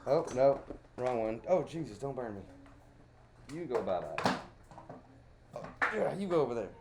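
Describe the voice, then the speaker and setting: A man's voice: mid-range voice, sing-song, normal speed, background noise. A man from Dallas with a Texas accent, chatting casually and folksily to himself as he paints. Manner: folksy, chatty, talks to himself while working. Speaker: crayon painting streamer.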